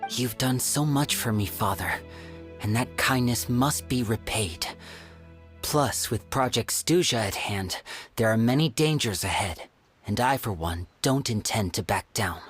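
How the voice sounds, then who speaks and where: A man's voice: mid-range voice, measured pace, breathy edge. Earnest, humble young man. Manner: sincere and respectful, self-doubting. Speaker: video game character. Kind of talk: game cutscene voice acting. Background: faint music.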